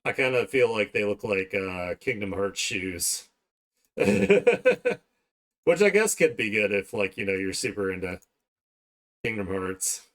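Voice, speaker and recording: A man with a fairly low voice hosts a casual art stream. He rambles conversationally to his viewers, talking as he works. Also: sing-song, slow, fairly bright tone. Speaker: art streamer hosting a livestream. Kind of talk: casual livestream chat while drawing.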